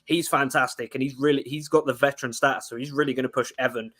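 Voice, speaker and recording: Animated young Englishman talking football. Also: medium pitch. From England. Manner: fast, animated football breakdown with frequent restarts. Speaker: guest analyst. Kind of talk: casual sports chat.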